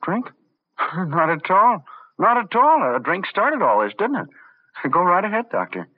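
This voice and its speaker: An actor playing a smug, power-mad little man with mock-polite, halting speech in an old radio horror drama. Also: high voice, big pitch swings, narrow, tinny audio, heavily acted. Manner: mock-polite, halting, taunting tag questions. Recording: band-limited vintage radio drama recording.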